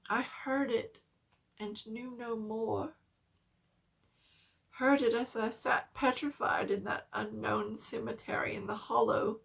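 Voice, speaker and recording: A woman narrating a horror story as a dramatic reading, theatrical, with halting pauses for effect. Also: on the high side, gravelly.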